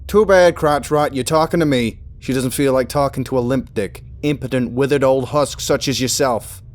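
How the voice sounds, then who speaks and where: A man voicing a furious, foul-mouthed young male student who shouts insults. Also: mid-range voice, moderate pace, voice pushed up, natural voice.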